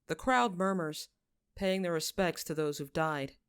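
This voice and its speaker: A woman's voice: fairly low pitch, lively intonation, measured pace, fairly bright tone, clean tone, no room sound, clean studio sound. A woman reading scripted story narration, in the manner of an audiobook. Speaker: fan fiction narrator.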